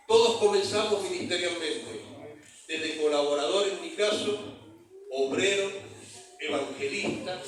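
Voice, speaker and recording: Argentine male pastor, high-pitched, preaching from afar in a very echoey church hall. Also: a bit bright, recorded from a distance. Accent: Argentine Spanish. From Argentina (San Juan). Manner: storytelling with call-and-response to the congregation. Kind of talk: sermon.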